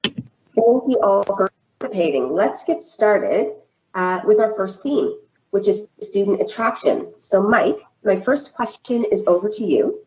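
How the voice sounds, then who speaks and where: Woman moderating a panel, measured. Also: medium pitch, lively intonation, warm tone, muffled recording. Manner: measured, scripted, orderly.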